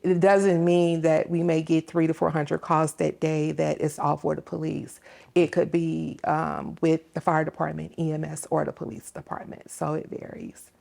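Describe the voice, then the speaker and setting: Woman, lower pitch, gravelly fry, conversational interview guest, explanatory, thinking pauses. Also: mellow. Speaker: police dispatcher, interview guest.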